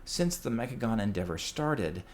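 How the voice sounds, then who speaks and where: A man giving a prepared, measured report as a contributor to a podcast. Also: mid-range voice, animated. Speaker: listener sending in a recorded report. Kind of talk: prepared, scripted-sounding report. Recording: clear background noise.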